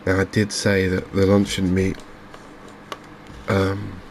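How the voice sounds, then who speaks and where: A soft-spoken man giving unhurried ASMR commentary on a video game. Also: deep voice, completely flat delivery, vocal fry, a little hiss or hum. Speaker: gaming video host.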